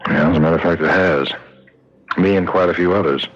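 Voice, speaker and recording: Deep, brisk, gruff prison warden. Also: male voice, fairly even intonation. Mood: stern, impatient. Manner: curt, stern, matter-of-fact. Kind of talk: 1970s radio drama acting. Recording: dull old radio recording, faint noise.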